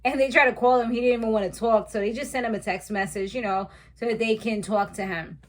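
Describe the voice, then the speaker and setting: A woman's voice: fairly high pitch, steady tone, fast, a little hiss or hum. A woman in her thirties casually recapping a reality show, chatty and slangy, laughing at her own asides. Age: 30s.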